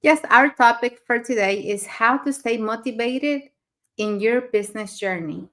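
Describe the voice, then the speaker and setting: A woman from Mexico co-hosting a talk show, pausing with "um" between names. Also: a little high, fairly bright tone. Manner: hesitant, with "um" pauses. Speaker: podcast co-host.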